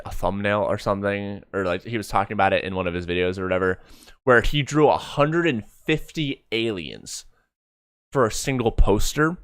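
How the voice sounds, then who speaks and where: Male streamer, lively and forward-sounding, excitable joker who swears freely, close dry sound with faint music underneath. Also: medium pitch, dry recording. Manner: excitable, profane, joking. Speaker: streamer reacting to a fan motion comic. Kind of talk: casual live reaction commentary.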